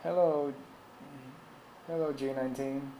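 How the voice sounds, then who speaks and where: Slow, easygoing man. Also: medium pitch, fairly even intonation, dark tone, reverberant, a little hiss or hum, low-quality recording. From Puerto Rico. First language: Spanish. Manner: loose, thinks aloud, reads and answers chat. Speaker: tech streamer. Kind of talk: casual live-stream talk.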